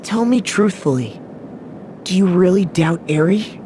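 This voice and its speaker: An actor playing a gentle, concerned young man in a video game, speaking earnestly and carefully. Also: animated, unhurried. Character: gentle, concerned young male companion, sincere and probing. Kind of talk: English video game voice acting. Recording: clear rumbling noise behind voice.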